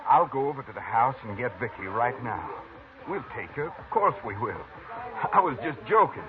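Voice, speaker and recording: Actor as a glib cornered outlaw. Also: male voice, medium pitch, big pitch swings, normal speed, lightly acted. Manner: glib, swinging from mocking to defensive. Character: smooth-talking, cornered young outlaw husband. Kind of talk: 1950s radio drama acting. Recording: tinny old radio broadcast with crowd.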